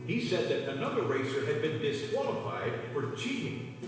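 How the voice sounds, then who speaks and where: A man's voice: on the high side, very animated, normal speed. A man reading scripted narration for a children's read-along storybook. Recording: echoey, distant, long reverb, music behind.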